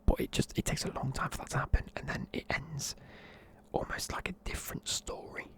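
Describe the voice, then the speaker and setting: A tired, sleepy speaker, whispering a rambling film review close to the mic in the style of an ASMR video. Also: a little fast. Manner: rambling, loose, lots of fillers. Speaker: YouTuber reviewing films.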